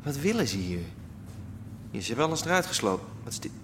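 An actor playing an awestruck, cheeky hippie squatter in a Dutch radio drama, laid-back and marvelling. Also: male voice, mid-range voice, big pitch swings, fast talker, background noise, lightly acted. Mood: amazed, cheeky.

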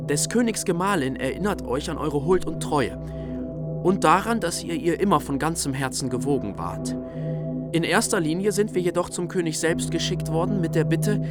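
Male actor as proud courtly envoy, minstrel, formal, fairly high voice, radio play, loud music behind. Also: lightly acted. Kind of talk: German radio play acting.

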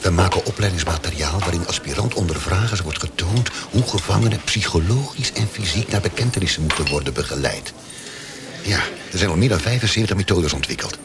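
An actor playing a dutiful, ambitious and defensive lieutenant in a radio drama, with a gravelly voice and an earnest, pedantic manner. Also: male voice, sits low, lively intonation, a little fast, very noisy. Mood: stiff, defensive.

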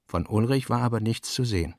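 A man's voice: medium pitch, brisk, darkish tone, dry recording. Dry, close-recorded man narrating literary prose. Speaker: narrator reading a literary radio production.

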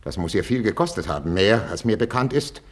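An actor playing an aging, jealous and imperious king in a 1950s German radio drama, husky and declamatory. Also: fairly low pitch, big pitch swings, fairly quick. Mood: agitated, bitter. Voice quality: gravelly, husky. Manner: declamatory, swinging between whispers and outcries. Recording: old 1950s radio recording.

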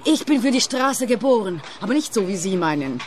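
A woman's voice: medium pitch, very animated, a little fast, natural voice. Woman as impassioned, defiant would-be trucker, noisy backdrop. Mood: defiant, yearning. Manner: impassioned, dramatic. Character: passionate, defiant woman yearning to drive trucks. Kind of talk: German-language radio play acting. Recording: clear ambient background noise.

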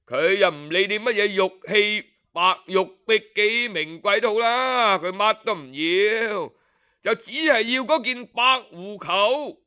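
High-pitched, bright male storyteller, unhurried. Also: clean tone. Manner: colloquial, expressive, frequent rhetorical questions and exclamations. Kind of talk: traditional oral storytelling, audiobook narration.

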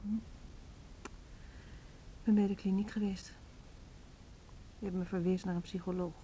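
A woman's voice: on the low side, level tone, unhurried, mellow, slight background noise, close to an everyday voice, rough recording. An actress playing a weary woman music teacher with a drinking problem, speaking frankly in a naturalistic radio drama. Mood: subdued, resolved.

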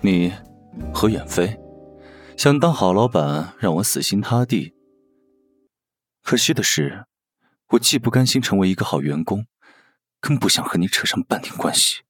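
A man's voice: deep voice, animated, moderate pace, long pauses, fairly bright tone, soft background music. A man playing a cool, calculating young gambler in an audio drama, guarded and sardonic in manner. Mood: cold defiance. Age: young adult. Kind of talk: Mandarin audio drama acting.

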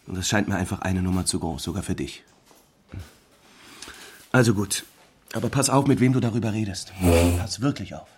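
A doubtful academic colleague in a radio drama. His voice is very low and husky. He sounds measured and blunt, as one giving a warning. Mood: sceptical, warning. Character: sceptical, cautioning male academic colleague.

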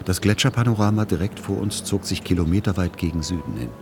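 A man playing a world-weary writer who narrates as a son in a literary radio play, measured and dry. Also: sits low, noisy background. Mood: wry, measured.

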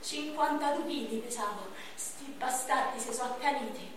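An actress playing a beaten, bitter young man of thirty-one, shouting crude outbursts in a stage monologue. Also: on the high side, normal speed, close to an everyday voice. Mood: grim. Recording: echoey stage from far off, noisy.

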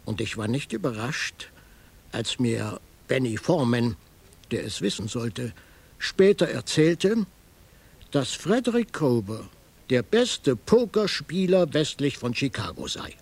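Husky, gravelly detective. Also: male voice, mid-range voice, big pitch swings, a little slow, full character voice. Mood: dry narrating. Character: shrewd male private detective, laconic and probing. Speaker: narrator and detective in a radio crime drama. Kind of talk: German radio crime drama, first-person narration and questioning.

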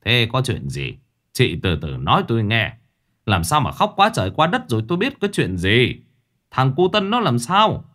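A man voicing a calm village shaman who steadies a crying woman. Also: low-pitched, a little slow. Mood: soothing. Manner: calm, soothing.

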